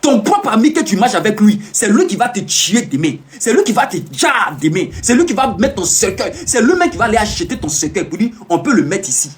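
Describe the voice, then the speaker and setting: Emphatic man with a high lively voice. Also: a little fast, few pauses. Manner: emphatic, repetitive, preaching warnings. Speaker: self-proclaimed peace ambassador streaming live. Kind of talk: live-stream monologue answering comments.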